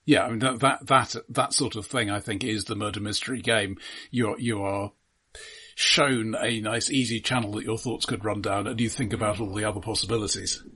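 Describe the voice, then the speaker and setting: A man's voice: fairly low pitch, fairly even intonation, moderate pace, bright, forceful tone, husky. A man co-hosting a film podcast, talking analytically and casually in careful, precise wording with a hesitant delivery. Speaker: film discussion podcast co-host.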